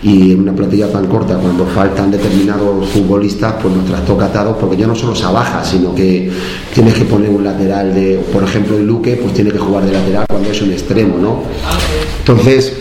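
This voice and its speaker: A man's voice: lowish voice, little pitch movement, brisk, warm tone, reverberant, a little hiss or hum. A man from La Mancha in Spain with a Spanish La Mancha accent, a football coach giving a post-match interview, hesitant and often repeating his words.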